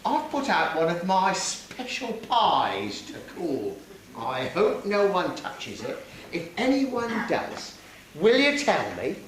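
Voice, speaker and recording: A man's voice: high voice, huge pitch swings, slow, fairly bright tone, full character voice. A man playing a bossy, greedy pantomime dame mother, coaxing and scolding in exaggerated stage style. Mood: playful rallying. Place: theatre or hall stage, live performance. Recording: very echoey hall, faint noise.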